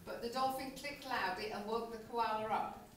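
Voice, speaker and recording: A woman's voice: a little high, fairly even intonation, moderate pace, a bit bright. Echoey, distant woman narrating a puppet story. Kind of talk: storybook narration for amateur comic puppet show. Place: room in a nursing home. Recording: very echoey, noisy, distant room recording.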